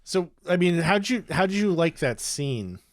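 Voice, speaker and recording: A man co-hosting a casual panel podcast, talking in a measured way with dry quips. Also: on the high side, big pitch swings, no room sound. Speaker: podcast co-host.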